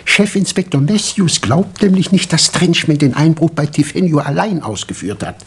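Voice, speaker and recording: A man playing a self-assured doctor turned amateur detective in a 1960s German radio crime play, explaining insistently and dramatically in a husky voice. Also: a little high, expressive, moderate pace, exaggerated voice. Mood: insistent, confident.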